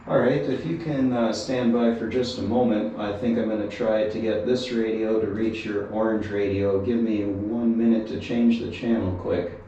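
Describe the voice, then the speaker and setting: A man speaks from a little way off the mic in a strongly reverberant room, with faint noise behind him. His voice is on the low side, and he talks casually and plainly, like someone reporting on a gear test. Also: fairly even intonation, moderate pace, hardly any pauses, dark tone, lo-fi sound. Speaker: reviewer testing walkie-talkie radios. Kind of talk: casual field-test narration. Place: inside a metal fire tower with windows. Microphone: action camera. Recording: roomy echo, distant mic, faint noise.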